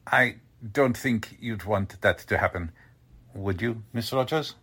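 Man acting a slow, husky, menacing Nazi agent. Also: fairly low pitch, bright, forceful tone, heavily acted. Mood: quiet threat. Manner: deliberate, suave, veiled threats. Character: cold, courteous Nazi German agent, menacing male kidnapper. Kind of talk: old-time radio drama acting.

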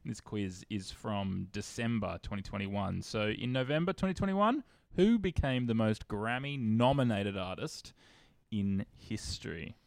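A man hosting a comedy quiz, reading questions slowly and laughing often between jokes. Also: lowish voice, creaky, darkish tone, no room sound. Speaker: quiz host.

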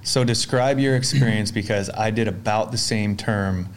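A man's voice: fairly low pitch, normal speed, slight background noise. A man hosting a podcast, asking friendly, rambling questions with an upbeat, casual manner. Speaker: podcast host. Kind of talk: casual interview conversation.

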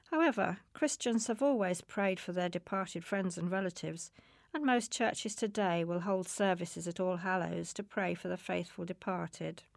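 A woman reading a scripted, informative narration in a measured, explanatory way. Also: lowish voice, gravelly, no room sound, clean studio sound. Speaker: magazine programme presenter.

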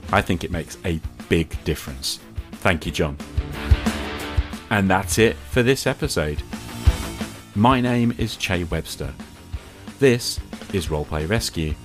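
A man hosting a podcast, giving a measured address to listeners. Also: sits low. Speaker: podcast host. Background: guitar music.

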